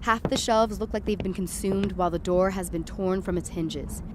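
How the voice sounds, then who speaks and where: Woman performing frightened young woman, first-person horror drama narration, tense, dramatic, medium pitch, clearly noisy ambient backdrop. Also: moderate pace, close to an everyday voice. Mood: tense, disgusted.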